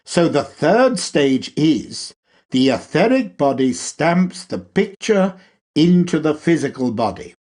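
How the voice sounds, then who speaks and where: A man reading a spiritual lecture aloud in a deliberate, measured way, with emphatic pauses. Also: fairly high pitch, darkish tone. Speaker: reader.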